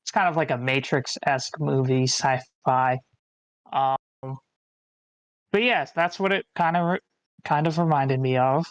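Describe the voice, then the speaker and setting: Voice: mid-range voice, unhurried, no echo, muffled recording. A participant in a casual group discussion, musing loosely and thinking aloud. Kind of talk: casual chat.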